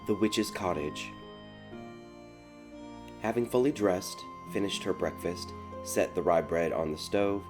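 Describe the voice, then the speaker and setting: A man giving a theatrical, scripted narration of a dark fairy tale as an audiobook. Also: deep voice. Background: music.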